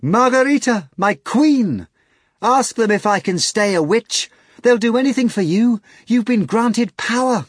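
A man's voice: high voice, expressive, a little slow, slightly rough, no room sound, clean studio sound, pitched above the performer's own voice, heavily acted. Man doing a shrieking gleeful young witch woman. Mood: triumphant. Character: brash cackling young woman, a maid turned witch.

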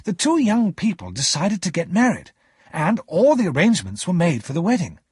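A frank, earnest young gentleman from a storybook, voiced by a man. His voice sits higher and is breathy, with lively, deliberate explanation. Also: dry recording, clean studio sound, full character voice. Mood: earnest, grave.

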